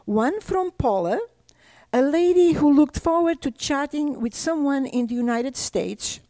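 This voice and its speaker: Older portuguese-born lady reading her book out loud, high mellow voice, slow. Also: no echo. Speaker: author. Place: library presentation room.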